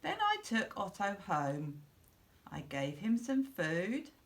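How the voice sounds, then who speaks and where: A middle-aged woman reading a children's storybook aloud in a deliberate, expressive way for young listeners. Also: mid-range voice. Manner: slow, deliberate, simple phrasing for young children. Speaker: school storyteller.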